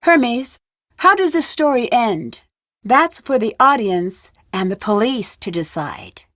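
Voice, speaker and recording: A woman voicing a bold, composed stage storyteller in a video game, with deliberate theatrical delivery. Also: a little high, sing-song, fairly bright tone, dry recording, clean studio sound, heavily acted. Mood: bold, dramatic. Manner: deliberate, dramatic.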